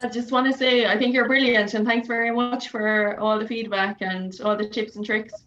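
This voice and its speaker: A woman with a fairly high voice chats casually to a workshop group. The audio is slightly muffled, with faint noise behind her. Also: steady tone, moderate pace, fairly bright tone. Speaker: workshop participant. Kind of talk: casual chat. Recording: dull call audio, faint background noise.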